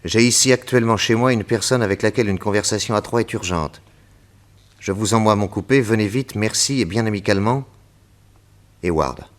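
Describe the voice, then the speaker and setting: An actor playing a confident, bold detective chief who reasons deliberately in a French radio drama. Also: male voice, on the low side, close to an everyday voice. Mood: calm deduction. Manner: deliberate, reasoning, self-assured.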